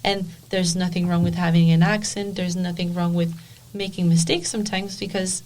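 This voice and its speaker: A woman's voice: fairly low pitch, level tone, normal speed, darkish tone. A woman speaking as a podcast guest, making her points emphatically and repeating them for stress. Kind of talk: casual discussion.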